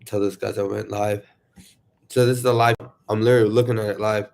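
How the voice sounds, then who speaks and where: A man casually chatting to viewers on his livestream, rambling and excitable, sniffling from allergies. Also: on the low side, fairly quick, creaky. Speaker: YouTuber livestreaming.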